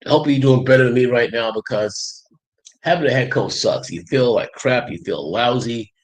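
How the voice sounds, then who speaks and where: A man with a head cold hosting a casual livestream, talking in a rambling, halting way with many fillers. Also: medium pitch. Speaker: livestream host.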